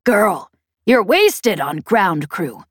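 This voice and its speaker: A woman's voice: mid-range voice, very animated, very slow, slightly rough, no room sound, studio quality, full character voice. A woman voicing a tough, commanding pirate boss in an audiobook, pressing and persuasive as she taunts. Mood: bargaining. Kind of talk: audiobook character dialogue.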